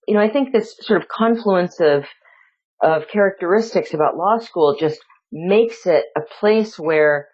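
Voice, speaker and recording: A woman law professor answering interview questions in a thoughtful, reflective way, filling her talk with hedges and restarts. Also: lowish voice. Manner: thoughtful, full of "you know" fillers and restarts. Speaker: law professor and researcher, guest. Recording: dull lo-fi audio.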